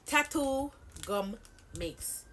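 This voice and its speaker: A woman's voice: high voice, pushed and bright. A young Jamaican woman with a Jamaican accent, chatting casually in her bedroom as she tastes snacks, chewing while she talks and teasingly repeating words. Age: young adult. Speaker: vlogger. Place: small bedroom indoors. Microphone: fixed front-facing camera. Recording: wrapper crinkling noise. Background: crinkling snack wrappers.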